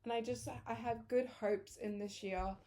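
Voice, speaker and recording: Young woman, early twenties, casual vlog chat, slightly hoarse, rambling and self-correcting. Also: on the high side, steady tone, normal speed, dark tone. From England. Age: early 20s. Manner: chatty, rambling, corrects herself. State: hoarse, losing her voice. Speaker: vlogger.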